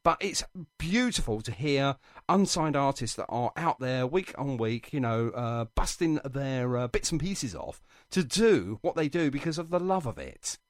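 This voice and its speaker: A man's voice: medium pitch, big pitch swings, normal speed, dry recording, clean studio sound. A man hosting a live radio interview in a chatty, effusive, rambling and anecdotal way. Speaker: radio show host.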